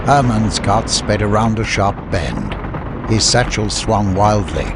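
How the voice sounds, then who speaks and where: Male audiobook narrator: lower register, dramatic scripted storytelling, clear ambient noise underneath.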